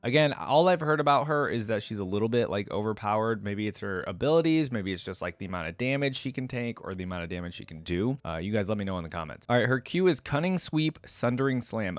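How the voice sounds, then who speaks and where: A man's voice: lowish voice, no echo. A man giving casual reaction commentary as a host, sometimes reading text aloud.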